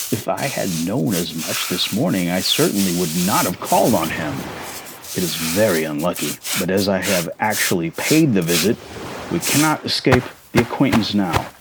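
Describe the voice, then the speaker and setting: A man's voice: on the low side, big pitch swings, normal speed, noisy background. A man voicing a genteel middle-aged father in a storybook, speaking formally with dry, teasing mock seriousness.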